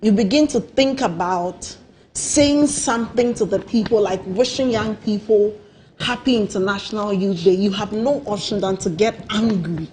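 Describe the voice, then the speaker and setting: A woman who has a cold and sounds nasal, a political guest in a TV studio who talks forcefully and repeats words for emphasis. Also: mid-range voice, moderate pace, a little hiss or hum, rough recording. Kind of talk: studio discussion.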